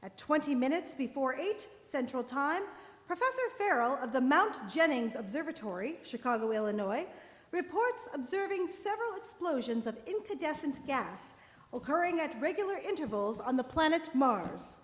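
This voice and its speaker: A woman's voice: high voice, expressive, normal speed. A woman playing an urgent, formal news announcer in a live 1930s-style radio play, speaking emphatically. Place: church, live performance. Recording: echoey hall, live stage recording.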